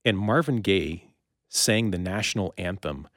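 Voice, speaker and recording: A middle-aged North American man with a low voice, recorded dry and close to the mic. He speaks as a podcast host in relaxed everyday conversation with a guest. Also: a little slow, dry recording. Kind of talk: casual conversational interview.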